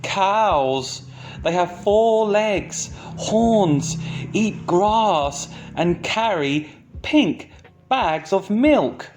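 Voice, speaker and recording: A man's voice: sits high, animated, very slow, slight background noise, voice pushed up, full character voice. A man voicing a proud young frog in a storybook, telling of wonders with triumphant, mysterious emphasis. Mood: emphatic, wondrous. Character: proud young tadpole turned well-travelled frog, male.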